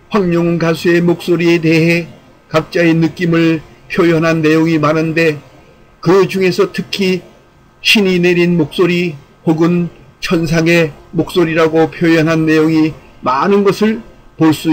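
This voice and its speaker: A man reading a scripted commentary as narrator, in formal, measured phrasing. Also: on the high side, level tone, poor audio.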